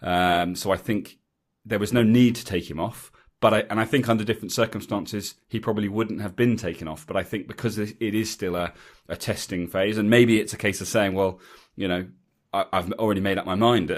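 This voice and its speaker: A man's voice: low voice, fairly even intonation, brisk. An English man hosting a rugby podcast, chatting casually and rambling with frequent restarts and ums. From England. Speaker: podcast host. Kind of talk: casual chat.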